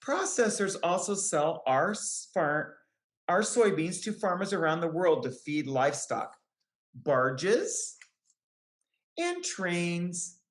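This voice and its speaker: A woman reading a picture book aloud to young children, with careful, deliberate phrasing. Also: on the low side. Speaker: children's book reader, extension educator. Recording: roomy, echoing sound.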